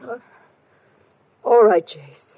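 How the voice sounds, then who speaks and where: Grieving small-town widow, muffled radio. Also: female voice, extremely slow, dry recording. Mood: hesitant, earnest. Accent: American. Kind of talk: 1950s radio drama acting. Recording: dry, muffled vintage radio recording.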